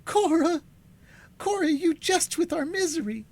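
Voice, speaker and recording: Male reader as outraged young officer, high, strained, impassioned. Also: animated, a little slow, vocal fry, a little mellow, exaggerated voice. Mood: indignant. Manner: indignant, impassioned. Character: indignant young army officer, man.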